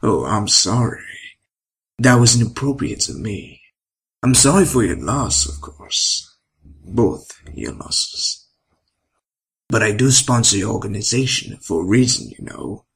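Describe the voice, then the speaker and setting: Man acting smug, slow, swooping villain. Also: lowish voice, expressive, gravelly, fairly bright tone, husky, exaggerated voice. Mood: mock sympathy. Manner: sardonic, drawling, mock-polite. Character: smug, callous male sponsor, polite villain. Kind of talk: audio drama acting.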